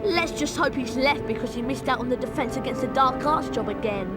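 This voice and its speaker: A woman voicing an excitable, cheeky British schoolboy wizard in a video game, blunt and casual. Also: sits high, brisk, close to an everyday voice. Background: loud music.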